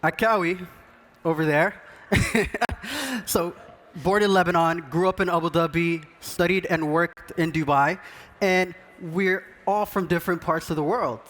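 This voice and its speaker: A man from Sudan, a podcast co-host, giving an earnest, measured talk on an event stage. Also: fairly high pitch, fairly even intonation, a bit bright.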